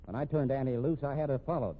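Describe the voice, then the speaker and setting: Man acting a detective captain, mid-pitched, animated inflection, dry folksy probing, 1950s radio drama, tinny band-limited sound. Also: fast, natural voice. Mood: stern, confident. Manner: folksy, hesitant probing with casual uh and hmm. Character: wry, dogged male police captain questioning a suspect.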